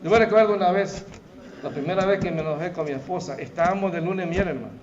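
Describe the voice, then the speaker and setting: A middle-aged Salvadoran pastor with a Salvadoran Spanish accent preaches to his church in a high, light voice. He keeps it conversational and talks straight to the congregation. The recording sounds dull and lo-fi. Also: fast, noisy background. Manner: conversational, addresses the congregation as "hermano". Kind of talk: sermon. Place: church hall.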